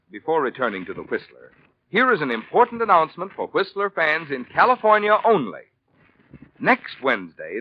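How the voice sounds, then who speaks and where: Animated 1940s male radio announcer. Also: fairly high pitch. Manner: emphatic, polished salesmanship. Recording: muffled 1940s radio recording.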